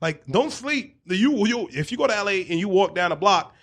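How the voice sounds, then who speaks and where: A young man, chatting casually on radio in a slangy, expressive, emphatic way. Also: high-pitched, normal speed. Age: young adult.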